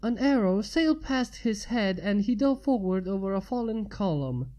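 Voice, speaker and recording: A woman's voice: medium pitch, lively intonation, normal speed, dark tone, muffled recording. A woman narrating fan fiction as a story reader.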